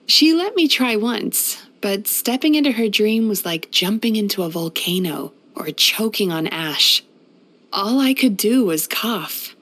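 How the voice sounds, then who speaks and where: A woman playing a gentle, composed yokai therapist in a video game, soft-spoken and measured. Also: medium pitch. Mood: playful, musing. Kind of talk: video game character voice lines.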